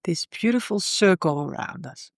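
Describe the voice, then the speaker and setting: A woman leading a guided meditation in a soothing, unhurried way, often pausing in the middle of phrases. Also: fairly low pitch, lively intonation, vocal fry, dry recording, clean studio sound.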